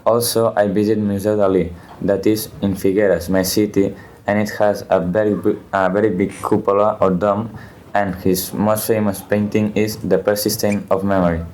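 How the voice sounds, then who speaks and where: Flat-voiced teen boy from Spain. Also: fairly low pitch, slight background noise, low-quality recording. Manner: halting, self-correcting. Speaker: student. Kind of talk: podcast discussion.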